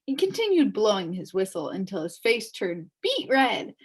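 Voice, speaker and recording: A woman reading a children's chapter book aloud as an expressive story narrator. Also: mid-range voice, moderate pace, slightly rough. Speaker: storybook reader.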